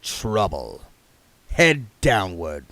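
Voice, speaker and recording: A man voicing a gruff, shrewd, wealthy businessman who speaks bluntly with a menacing, folksy edge. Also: deep voice, big pitch swings, drawn-out words, fairly bright tone, no room sound, heavily acted.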